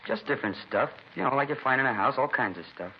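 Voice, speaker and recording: An actor playing an evasive, streetwise seventeen-year-old American delinquent in slangy, grudging radio drama dialogue. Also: male voice, medium pitch, expressive, fast, lightly acted. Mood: offhand. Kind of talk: 1950s radio drama acting. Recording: dull, noisy vintage radio recording.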